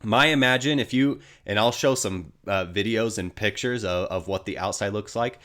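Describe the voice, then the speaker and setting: A man's voice: on the low side, normal speed, a bit bright. An American man getting over a cold, slightly nasal, giving casual hockey news commentary in a hesitant, rambling way.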